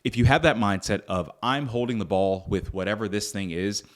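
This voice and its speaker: A man's voice: lowish voice, fairly quick. A young man from Northern Virginia hosting a solo podcast, earnest and reflective, with frequent fillers and restarts. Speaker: podcast host. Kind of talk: casual solo podcast talk.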